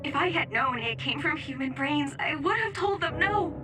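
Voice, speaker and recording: Very high-pitched, fragile young woman. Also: animated, normal speed, over music. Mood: despairing. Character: fragile, gentle young woman, despairing and apologetic. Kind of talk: English video game voice acting.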